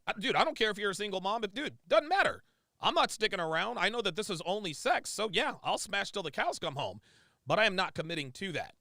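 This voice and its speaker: A man's voice: high-pitched, vocal fry, pushed and bright, no echo, studio quality. A man streaming live, reacting to a clip with emphatic, repetitive ranting commentary.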